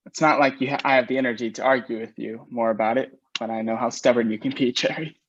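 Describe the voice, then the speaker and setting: A man's voice: medium pitch, fairly even intonation, quick pace, natural voice. A man reading the part of a sarcastic groom on his wedding day in a staged play reading over a video call. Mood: weary. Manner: sharp, sarcastic, swears often. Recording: muffled video call audio.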